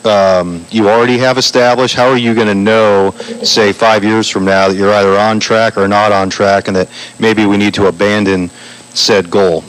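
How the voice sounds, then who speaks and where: A man's voice: on the low side, fairly even intonation, moderate pace, poor audio. A man at a cafe forum asking a candidate a question, hesitant and searching for words. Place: bakery cafe forum room.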